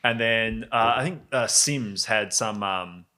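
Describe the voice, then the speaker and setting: A man chatting and improvising narration as a game master, in a halting, descriptive way. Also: fairly low pitch, pushed and bright. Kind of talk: improvised narration and casual chat among friends. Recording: music behind the voice.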